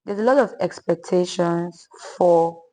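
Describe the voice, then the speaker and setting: A woman's voice: fairly low pitch, measured pace, rough recording. Young woman, polite guest. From Nigeria. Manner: polite, says ma'am, loud voice. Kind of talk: podcast interview.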